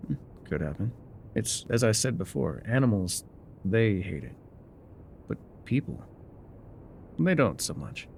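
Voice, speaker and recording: A man's voice: on the low side, sing-song, unhurried, gravelly, pauses often, darkish tone, slight background noise, heavily acted. A man playing an enigmatic, wry guide through dangerous wilds in an intimate ASMR roleplay, musing, teasing and often trailing off. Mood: uneasy, probing.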